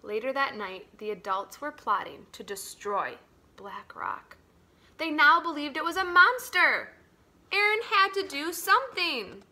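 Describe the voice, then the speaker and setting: A woman with a high, bright voice reads a story aloud to children. She takes her time, telling it slowly and dramatically. Her delivery moves between hushed phrases and strong, emphatic ones. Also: sing-song. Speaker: teacher reading a picture book aloud.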